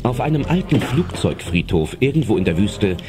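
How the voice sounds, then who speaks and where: A male narrator tells an audio drama in a very low voice. His delivery rises and falls in a lively way over prominent music. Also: moderate pace.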